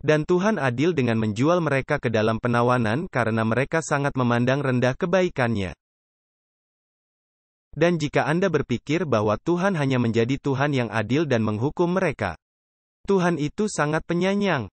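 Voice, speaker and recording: A man's voice: mid-range voice, animated, moderate pace, dry recording. Earnest male preacher, dry close sound. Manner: earnest, emphatic, with rhetorical questions to listeners. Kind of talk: dubbed sermon.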